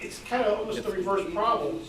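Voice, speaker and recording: A man's voice: sits high, fairly quick, over a noisy crowd. Older male club member, informal discussion, distant in a heavily echoing room. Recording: very echoey, distant mic, faint noise.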